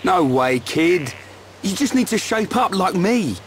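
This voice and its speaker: A brash but friendly young fighter in a fantasy game. His voice is fairly high, over faint outdoor ambience. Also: sing-song, unhurried, slight background noise. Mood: brash encouragement. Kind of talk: video game cutscene acting.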